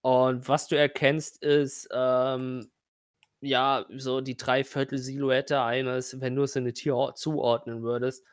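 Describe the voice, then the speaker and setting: A man narrating a tabletop role-playing game as game master, describing scenes haltingly and speaking directly to the player. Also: mid-range voice, level tone, smooth, dry recording, studio quality.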